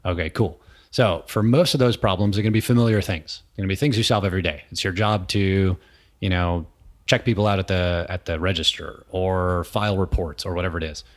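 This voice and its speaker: Deep-voiced man explaining thoughtfully. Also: fairly quick. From New York, USA. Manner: thoughtful, explanatory, with frequent ums. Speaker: guest, innovation consultant. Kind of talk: conversational interview. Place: indoor room with a small audience.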